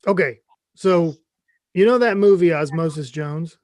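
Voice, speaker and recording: Eager, clueless middle-aged stepdad type, played by a man, high for a male, earnest comedy read, dry recording. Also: measured pace, darkish tone, no echo, close to an everyday voice. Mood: eager pitching. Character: Craig, mom's 55-year-old boyfriend, clueless, eager, chummy. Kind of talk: comedy script table read.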